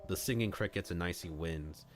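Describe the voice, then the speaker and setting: A man's voice: low voice, normal speed, over music. A man streaming a read-aloud of a visual novel, narrating it as a storyteller. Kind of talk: storytelling narration with acted dialogue.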